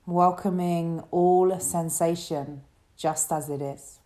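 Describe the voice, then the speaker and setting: Woman doing a yoga nidra meditation, really slow and soothing, soft mellow voice. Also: on the low side, fairly even intonation. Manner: soothing, gentle, unhurried instruction. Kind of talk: guided relaxation meditation.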